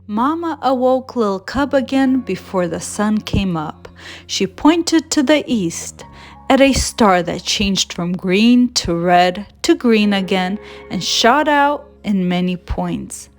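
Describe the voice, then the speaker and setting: Slow, gentle woman storyteller. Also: mid-range voice, lively intonation, faint music behind. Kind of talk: children's storybook read-aloud.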